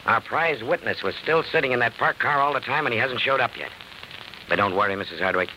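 An actor playing a weary, plain-spoken, sympathetic police detective in a matter-of-fact radio drama style. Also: male voice, mid-range voice, a little fast, sharp tone. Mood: determined, sympathetic. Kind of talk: 1940s radio acting. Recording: faintly noisy old radio recording.

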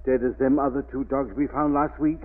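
A man's voice: medium pitch, level tone, a little fast, lightly acted. Actor as a superstitious Scotsman, tinny old radio. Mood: grave dread. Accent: Scottish. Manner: grave, foreboding. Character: superstitious, fearful Scottish estate worker. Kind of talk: 1940s radio drama acting.

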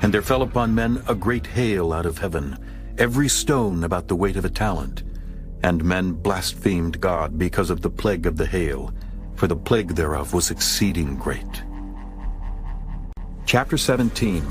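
A man's voice: deep voice, moderate pace, music in the background. A man narrating a dramatized scripture audiobook in a solemn, formal manner.

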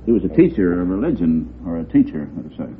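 A man's voice: low-pitched, very animated, fast. A man appearing as a quiz show contestant, giving short unscripted answers. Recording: noisy tinny radio, audience behind.

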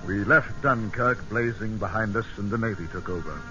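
An actor playing an earnest, battle-weary British army major with clipped, formal speech, in old-time radio drama style. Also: male voice, fairly low pitch, normal speed, over loud music, natural voice. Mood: sombre narrating. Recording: dull, very noisy vintage radio broadcast.

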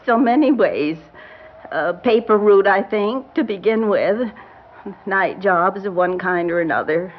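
Genteel grieving grandmother, older, hesitant and defensive, lively intonation, dull classic-radio recording. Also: mid-range voice, measured pace. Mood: hesitant, evasive. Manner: prim, hesitant, evasive. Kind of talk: classic radio drama acting.

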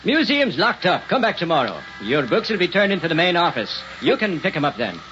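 An actor playing a fretful, gruff but soft-hearted old night watchman in a radio drama, speaking in short clipped phrases. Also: high voice, very animated, a little fast, soft background music, full character voice. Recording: muffled, noisy old radio recording.

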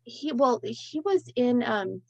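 A woman's voice: fairly high pitch, expressive, takes it slowly, gravelly, a little mellow, dry recording, highs cut off. A woman host asking questions in a casual interview, rambling and restarting her sentences. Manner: rambling, hesitant, many restarts.

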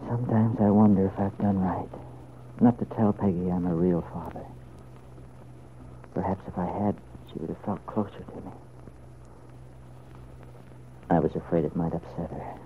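An actor playing an anxious, tender middle-aged father in an old-time radio serial, speaking in a halting, earnest way. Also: low-pitched, lightly acted. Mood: troubled musing. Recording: muffled, noisy vintage radio recording.

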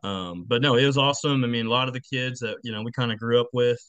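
A man's voice: medium pitch, fast, fairly bright tone, clear, resonant tone, dry recording. A man in his late twenties from Texas, answering interview questions in a casual, plainspoken way. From Texas, United States. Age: late 20s. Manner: plainspoken, informal. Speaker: podcast guest, football coach.

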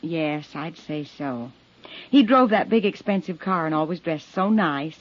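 Neighbor witness: flustered and protesting, very lively pitch, muffled 1950s radio recording. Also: female voice, measured pace. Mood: hesitant, cooperative. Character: flustered, defensive married woman neighbor being questioned. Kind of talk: 1950s radio drama acting.

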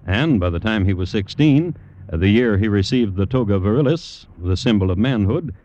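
A man hosting and narrating an old radio crime show, dry and deliberate. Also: on the low side, animated, warm tone, dull audio. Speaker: host and narrator. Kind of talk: 1950s radio scripted narration. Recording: hissy old radio recording.

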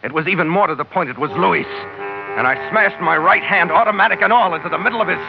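Hardboiled radio adventure captain, muffled. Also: male voice, sits high, quick pace, vocal fry, music in the background. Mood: bitter, furious. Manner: hardboiled, clipped, commanding. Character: tough, vengeful sea captain narrating his own adventure. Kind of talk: 1940s-50s radio adventure acting and narration. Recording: muffled old radio recording.

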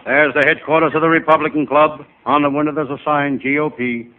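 Man acting private detective spoof, higher male voice, deadpan, wisecracking, old-time radio comedy, faint hiss. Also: a little fast, lightly acted. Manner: deadpan first-person narration with punchlines. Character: wisecracking, hapless private detective narrating his day. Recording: noisy, tinny vintage radio recording.

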